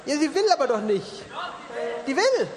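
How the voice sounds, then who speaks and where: A man's voice: extremely high pitch, big pitch swings, normal speed. A man hosting a live stage quiz, joking and bantering with the audience. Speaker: quiz show host. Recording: live hall with crowd and music.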